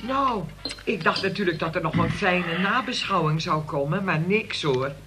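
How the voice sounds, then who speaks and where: A woman's voice: on the low side, moderate pace, over music, close to an everyday voice. An actress playing an older, football-mad, moralizing mother in a radio play, rambling, opinionated and given to exclamations. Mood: chatty, opinionated. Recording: noisy old radio play recording.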